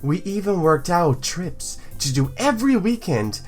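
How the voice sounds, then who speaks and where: A woman hosting a let's play, switching between reading game text aloud and excitable, sweary commentary. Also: lowish voice, measured pace, faint music behind. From Europe, near Belgium. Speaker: gaming video host.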